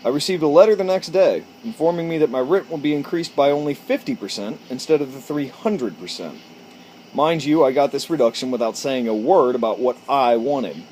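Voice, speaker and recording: A man's voice: medium pitch, lively intonation, a little fast, a little mellow, rough recording. Informal man on a porch, faint background noise. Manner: informal, stumbles and corrects himself while reading. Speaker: amateur storyteller and video host. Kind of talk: casual chat and reading aloud from a book. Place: outdoors on a porch.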